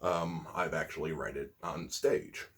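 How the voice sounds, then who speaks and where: A man with a husky voice, an amateur poet hosting his own videos, talking casually and reciting spoken-word poetry. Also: very deep voice, fairly even intonation, measured pace.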